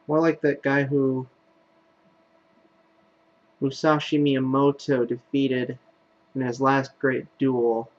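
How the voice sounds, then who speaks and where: Man, mid-pitched, casual let's play commentary, rambling, offhand, muffled lo-fi recording. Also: level tone, slow pace, long pauses. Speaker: gaming video host.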